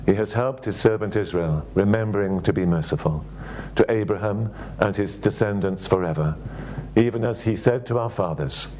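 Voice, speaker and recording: An older Welsh man delivers a sermon and reads scripture at an easy pace, pausing often with small fillers. His voice sits low, and faint background noise hangs under it. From Wales. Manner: gentle, unhurried, with frequent ums and uhs. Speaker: church secretary giving a sermon.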